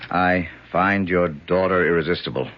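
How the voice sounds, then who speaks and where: An actor playing a loyal, hard-nosed senator's aide in a 1970s radio drama, urgent and plain-spoken. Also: male voice, deep voice, steady tone, normal speed, a little hiss or hum, lightly acted. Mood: grim, indignant. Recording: dull, band-limited vintage radio recording.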